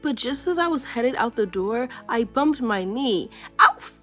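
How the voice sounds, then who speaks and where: A woman reading a children's picture book aloud in a playful, expressive storytelling way. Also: fairly high pitch, a little slow, soft background music.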